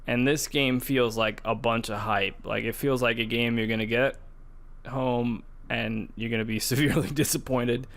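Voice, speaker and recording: A man co-hosting a gaming podcast, chatting casually in a measured, skeptical way. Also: mid-range voice, fairly even intonation, gravelly, a bit bright. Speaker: podcast co-host.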